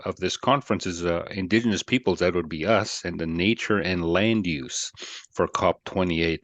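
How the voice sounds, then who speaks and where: A man from Canada co-moderating a webinar panel on a video call, speaking in an unhurried way with many filler pauses. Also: deep voice, dry recording.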